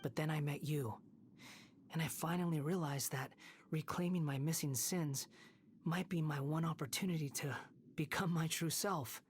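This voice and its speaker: A woman playing a sorrowful young male puppet wanderer in a video game, solemn, measured and earnest. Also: sits low, a little mellow, a little hiss or hum, close to an everyday voice. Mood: solemn, resolute. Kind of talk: dramatic video game voice acting.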